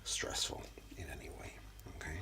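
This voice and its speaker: A man speaking in soft, breathy, often whispered ASMR style, gently soothing and reassuring like a practitioner calming a client. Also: moderate pace. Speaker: ASMR host, role-playing Reiki practitioner. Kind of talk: soft-spoken ASMR, personal-attention session. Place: small room indoors.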